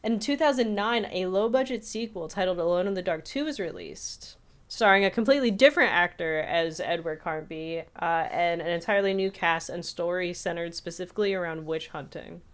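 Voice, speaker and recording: A woman's voice: on the low side, a little fast. A woman co-hosting a podcast in a casual conversational style, with wry, dry asides. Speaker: podcast co-host. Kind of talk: casual chat, paraphrasing notes.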